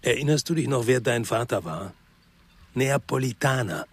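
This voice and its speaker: An actor playing a brooding former policeman who narrates his own crime story in a measured, terse way for radio drama. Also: mid-range voice, expressive, slightly rough. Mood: bitter. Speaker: first-person narrator and lead actor.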